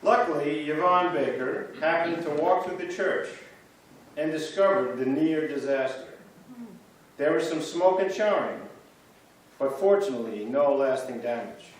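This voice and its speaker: A man's voice: a little high, unhurried, recorded from a distance, slight background noise. Man reading aloud in an echoey church. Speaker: church reader. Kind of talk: reader's theater narration. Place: large church sanctuary.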